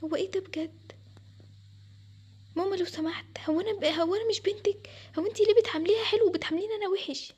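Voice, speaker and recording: A woman's voice: very high voice, steady tone, rapid-fire, warm tone. A woman playing a hurt, neglected young daughter with an Egyptian accent, whiny and plaintive, in an acted family story. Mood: indignant, hurt.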